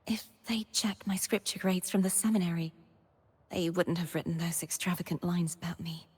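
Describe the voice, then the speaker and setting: A young woman playing a gentle, earnest, wistful maiden heroine in scripted video game lines, soft-spoken and breathy. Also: on the low side, steady tone, normal speed. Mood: wistful, shy. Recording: long reverb on the voice.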